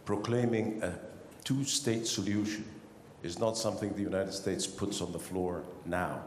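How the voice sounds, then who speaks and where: A man's voice: low voice, steady tone, normal speed, slight background noise. A man from Egypt earnestly putting a formal question to a panel. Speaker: audience member asking a question. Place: large conference hall. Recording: echoey hall with faint ambience.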